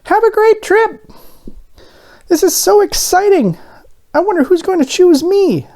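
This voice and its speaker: A man voicing an excitable, naive little talking doughnut from a children's storybook, with an earnest, exclamatory manner. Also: extremely high pitch, unhurried, pitched above the performer's own voice, exaggerated voice. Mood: excited.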